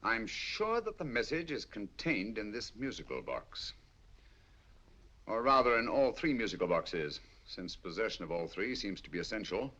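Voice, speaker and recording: An actor playing a shrewd, calm detective, speaking in a measured, deliberate way in a 1940s film. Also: male voice, fairly low pitch, heavily acted. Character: shrewd calm male detective, middle-aged. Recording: dull lo-fi 1940s film soundtrack.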